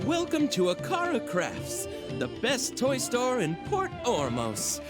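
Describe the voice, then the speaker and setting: A man's voice: extremely high pitch, wildly swooping pitch, slow, loud music behind the voice, heavily acted. A man voicing an anxious, hesitant toy shopkeeper in a video game. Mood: flustered. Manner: hesitant, fretful. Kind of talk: video game cutscene dialogue.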